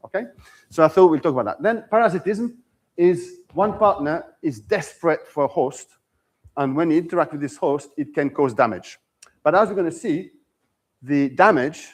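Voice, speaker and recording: Male visiting lecturer, slightly higher pitch, relaxed conversational seminar delivery. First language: French. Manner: conversational, frequent restarts and "okay?" checks.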